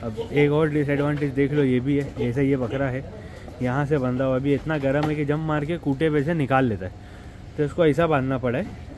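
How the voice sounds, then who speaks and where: A man's voice: mid-range voice, steady tone, normal speed, darkish tone. A man, chatting casually to viewers as he shows goat farm work step by step. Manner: chatty, explains step by step, addresses viewers directly. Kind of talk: casual vlog narration. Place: goat farm and street, outdoors. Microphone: clip-on mic after setup. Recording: noisy outdoor street with traffic.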